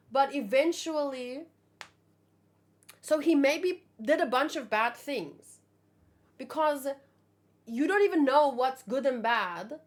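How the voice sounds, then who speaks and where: Female streamer, high-pitched, animated, rambling, informal live chat. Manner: animated, exclamatory, rambling asides. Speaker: streamer hosting a manga read-along. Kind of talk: casual live chat, thinking aloud.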